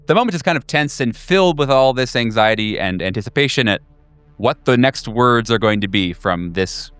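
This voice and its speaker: A man's voice: mid-range voice, animated, normal speed, fairly bright tone, clean tone, dry recording. A man narrating a tabletop game as game master in a loose, conversational, descriptive way. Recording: music under the voice.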